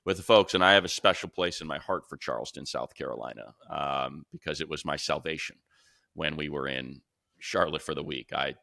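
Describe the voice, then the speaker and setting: A man's voice: rumbling low, a little fast, gravelly, bright, forceful tone, no echo, studio quality. A man co-hosting a sports podcast as an announcer, talking casually and informally with rambling asides. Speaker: sports radio announcer and co-host.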